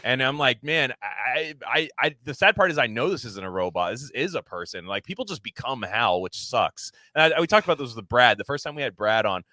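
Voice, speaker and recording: A man co-hosting a podcast, chatting casually about films in an emphatic, halting, sometimes profane way full of filler words. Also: medium pitch, expressive, sharp tone, no room sound. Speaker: podcast co-host. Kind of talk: casual film discussion.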